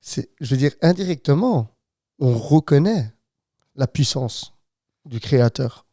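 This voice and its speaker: A man's voice: mid-range voice, animated, a little slow, dark tone, no echo, clean studio sound. A man with a Flemish accent chatting as a podcast guest, hesitant, with many fillers and restarts. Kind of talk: casual podcast chat. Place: hot podcast studio.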